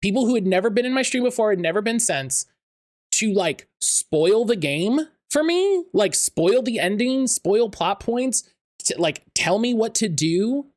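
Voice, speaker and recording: A man's voice: high voice, animated, measured pace. A man hosting a podcast interview, rambling with lots of fillers and restarts. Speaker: podcast host.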